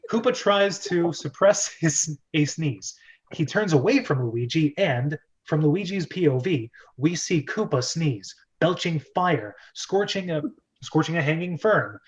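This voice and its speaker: A man's voice: a little high, animated, unhurried, slightly rough, low-quality recording. A man hosting a casual amateur screenplay read, narrating stage directions and breaking off into laughing asides. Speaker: host and narrator of a script read-through.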